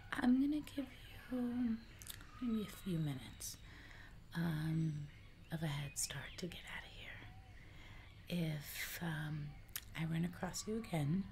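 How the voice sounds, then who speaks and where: A young woman voicing a casually menacing jungle giantess in a soft, chatty whispered ASMR roleplay, close to the microphone. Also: sits low, vocal fry, a little hiss or hum. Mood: politely menacing. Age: young adult. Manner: soft, slow, repetitive, chatty. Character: casually menacing female jungle giantess who eats people.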